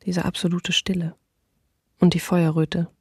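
A sombre female eyewitness in a radio play. Her voice barely rises or falls, with a creaky edge, as she gives measured, factual testimony in a dry recording. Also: fairly low pitch, flat delivery, darkish tone, no echo. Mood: hushed, grave. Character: sombre female eyewitness of wartime occupation recalling events. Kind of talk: restrained documentary radio play monologue.

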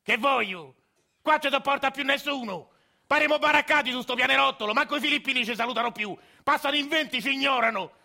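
An actor playing a cranky, foul-mouthed old grandfather who yells in a Roman accent. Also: extremely high pitch, fast, vocal fry, pitched above the performer's own voice, heavily acted. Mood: bitter complaint. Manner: yelling, crude, bitter complaining. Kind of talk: radio drama acting.

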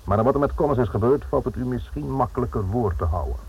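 An actor playing a stern, dryly sardonic police inspector in a Dutch radio drama, speaking formally and commandingly with a Netherlands accent. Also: male voice, mid-range voice, expressive, quick pace, a little mellow, husky. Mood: reproachful, dry. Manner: formal, commanding, faintly sarcastic. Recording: muffled, narrow-band old radio recording.

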